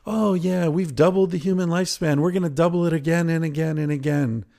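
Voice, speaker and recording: Man doing a breezy tech-progress evangelist, raised pitch, overdone sarcastic enthusiasm, casual podcast parody. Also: fairly even intonation, a little fast, creaky, warm tone. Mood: mock excitement. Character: glib, breezy booster of technological progress, mocked.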